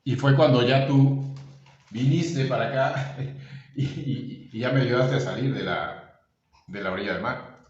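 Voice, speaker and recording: A man's voice: medium pitch, measured pace, pauses often. A man from Veracruz with a Mexican accent chatting casually with an old friend, rambling and often searching for his words. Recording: roomy echo, dull lo-fi sound.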